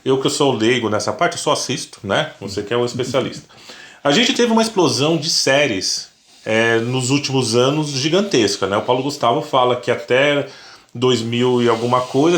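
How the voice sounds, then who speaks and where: A man's voice: medium pitch, normal speed, fairly bright tone, roomy sound, slight background noise. A Brazilian man co-hosting a casual podcast chat, agreeable and asking questions. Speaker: podcast co-host.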